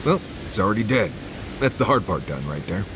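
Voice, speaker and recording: A man's voice: fairly low pitch, very animated, a little fast. An actor voicing a blunt, wry male adventuring companion in a fantasy video game, with a dry, sardonic delivery. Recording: loud background noise.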